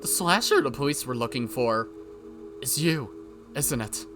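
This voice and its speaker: A man's voice: mid-range voice, expressive, a little slow, over music, natural voice. A man voicing a suspicious, frightened teenage girl in a visual novel, accusing and strained. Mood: accusing, cold. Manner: accusing, stammering, shrieking when cornered.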